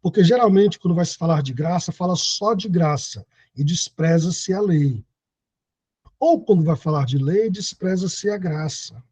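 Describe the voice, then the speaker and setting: A man's voice: on the high side, a little slow, mellow, husky, no room sound. A man in his thirties teaching an online Bible study lesson, reading scripture aloud and explaining it. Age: 30s. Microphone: video call.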